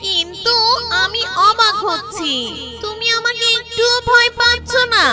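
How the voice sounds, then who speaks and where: A woman voicing an eerie but gentle, lonely young female tree ghost in a cartoon, with a drawn-out, plaintive delivery over music. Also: very high voice. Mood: wistful, gentle. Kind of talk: cartoon character voice acting. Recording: music playing behind the voice.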